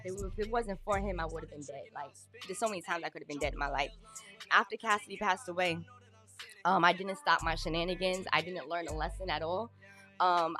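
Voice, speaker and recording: A woman in her thirties telling her life story in an interview, candid and plain-spoken, with halting restarts. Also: on the low side, a bit bright. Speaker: guest. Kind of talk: personal testimony in an interview.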